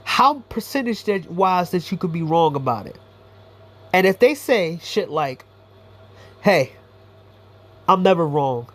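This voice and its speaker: A man's voice: high voice, big pitch swings, measured pace, pauses often, fairly bright tone. A man giving a blunt, profane, rambling commentary monologue as a YouTuber.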